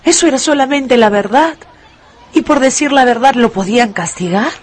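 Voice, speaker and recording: A woman narrating a dramatised radio story in an expressive, deliberate, emphatic way. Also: a little high, slightly rough.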